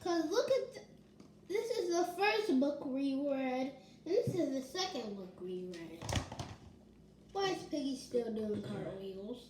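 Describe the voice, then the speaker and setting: A child reading a picture book aloud informally, haltingly and with asides. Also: high-pitched, animated, a little mellow. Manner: halting, chanting little filler tunes. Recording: roomy echo with faint noise.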